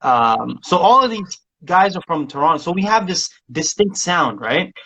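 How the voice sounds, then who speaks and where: A man's voice: a little high, sing-song, moderate pace, pushed and bright, poor audio. A man from Somalia, a music producer chatting casually as a guest and rambling with many restarts and laughs. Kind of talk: casual interview chat.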